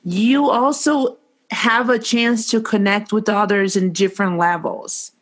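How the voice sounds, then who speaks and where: A woman's voice: medium pitch, steady tone, unhurried. A woman hosting a podcast interview, asking questions with enthusiasm. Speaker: podcast host.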